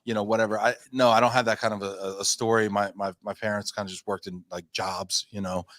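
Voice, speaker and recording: A man's voice: fairly low pitch, little pitch movement, fast, a bit bright, dry recording, studio quality. A man in his thirties, a company founder being interviewed, telling his story in a chatty, self-deprecating, rambling way. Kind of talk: casual interview talk.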